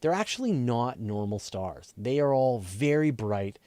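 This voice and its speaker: A man's voice: mid-range voice, expressive, unhurried, dark tone, dry recording. A man hosting a live question and answer show, explaining things in a casual, conversational way and thinking aloud as he goes. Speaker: host of a space and astronomy question show.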